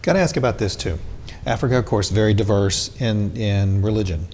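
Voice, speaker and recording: A man hosting a television interview, asking measured, inquisitive questions. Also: a little mellow. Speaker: TV interviewer, host. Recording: roomy reverb with faint rumble.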